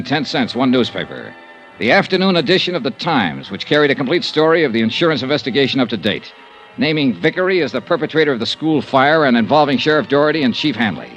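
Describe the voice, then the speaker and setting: A man's voice: mid-range voice, fairly bright tone. An American man acting a tough, terse insurance investigator in an old-time radio detective drama, narrating in a clipped, matter-of-fact way. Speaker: lead actor and narrator of a detective serial. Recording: vintage radio recording, faint music.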